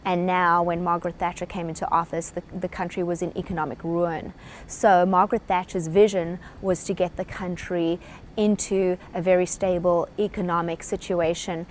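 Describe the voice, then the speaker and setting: A woman with a South African accent speaks in a somewhat low voice, measured and earnest as she explains. A faint low rumble sits under the recording. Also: little pitch movement. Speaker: presenter. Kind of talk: short talk to camera on leadership.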